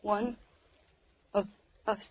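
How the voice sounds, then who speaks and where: A woman's voice: mid-range voice, flat delivery, very slow, husky. An actress playing a feisty but frightened young captive woman in 1930s radio melodrama, insistent and brash in her pleading. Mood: fearful pleading. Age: young adult. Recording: dull vintage radio recording.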